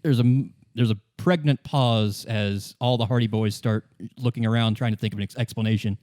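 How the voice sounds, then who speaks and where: A man's voice: on the low side, steady tone, a little fast, a little mellow, dry recording, studio quality. A man narrating an improvised tabletop game as game master, describing scenes to a player.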